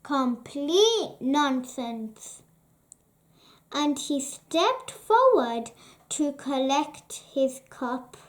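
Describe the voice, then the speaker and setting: A reader narrating a children's bedtime story in a deliberate, pausing storytelling way. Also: high-pitched, big pitch swings.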